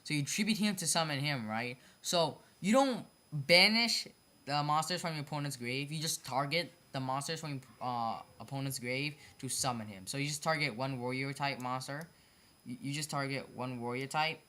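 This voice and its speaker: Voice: medium pitch, normal speed, sharp tone. Someone giving a casual, off-the-cuff explanation of card game designs, often repeating and correcting themselves and apologizing for mistakes. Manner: repetitive, self-correcting, stumbles over phrasing; apologetic about own mistakes. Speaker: hobby card game video creator.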